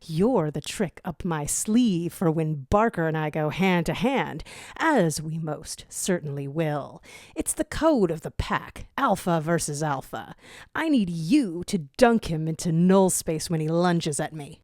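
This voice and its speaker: A woman's voice: sits low, expressive, a little slow, darkish tone, dry recording, clean studio sound. A woman voicing a smug, scheming male jackal commander with a self-assured, grandiose manner in an audio story. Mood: suave, assured. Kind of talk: fan fiction audio story reading.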